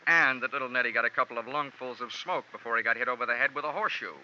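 Hardboiled American investigator, sardonic and clipped, very forceful bright voice, 1940s radio drama, muffled old recording. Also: male voice, mid-range voice, very fast, slight background noise. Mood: tough, controlled. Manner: sardonic, clipped, tough-guy delivery. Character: cynical, wisecracking male freelance insurance investigator. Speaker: lead actor and narrator of a detective radio series.

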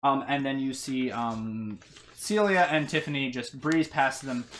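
Halting game master, conversational and improvising. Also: medium pitch, a bit bright, a little hiss or hum. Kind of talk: improvised narration of a tabletop fantasy game.

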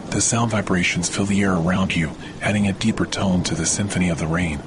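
A man reading a scripted guided sleep meditation as a relaxation podcast host, in a soothing, measured delivery. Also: low-pitched, steady tone, noisy background. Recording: loud rain and thunder sound bed. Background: rain on leaves, soft thunder.

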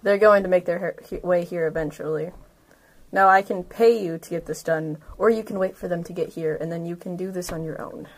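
A woman's voice: on the low side, steady tone, brisk. A woman talking casually as a player in a tabletop game, informal and assertive.

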